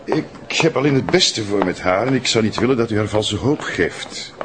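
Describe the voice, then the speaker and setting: A man's voice: lowish voice, expressive, moderate pace, close to an everyday voice. A male actor playing a reserved chief psychiatrist in a radio drama, speaking formally and politely. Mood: formal, guarded. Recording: noisy radio drama recording.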